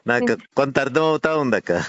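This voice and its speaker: A man's voice: mid-range voice, lively intonation, fairly quick, dry recording. Guy with nellore telugu accent, mid voice, deferential, chatting on a dull lo-fi phone call. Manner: deferential, often interjecting short acknowledgements. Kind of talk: casual phone conversation.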